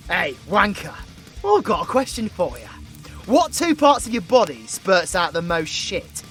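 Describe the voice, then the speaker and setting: A man's voice: high-pitched, sing-song, a little slow, fairly bright tone, exaggerated voice. A British man voicing a cocky, foul-mouthed young warlock villain in an audio roleplay, mocking everyone in sweary slang. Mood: cheeky banter. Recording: music behind the voice.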